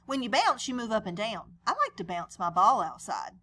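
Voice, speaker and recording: A woman's voice: mid-range voice, big pitch swings, normal speed, pushed and bright. A woman reading a picture book aloud as a teacher would, chatting conversationally to young listeners with questions and explanations. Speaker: read-aloud storyteller and teacher.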